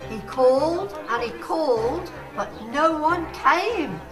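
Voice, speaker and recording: A storybook radio play voice, acted by a woman, high and measured. It sounds in an echoey room with music behind. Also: lively intonation. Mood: plain. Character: storybook narrator, sheep and wolf.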